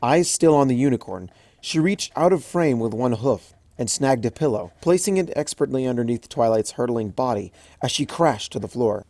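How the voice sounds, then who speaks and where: A narrator reading a comic fan story aloud, with expressive storytelling, recorded at home on a close mic. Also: mid-range voice, normal speed, no echo. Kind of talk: audiobook fanfic reading.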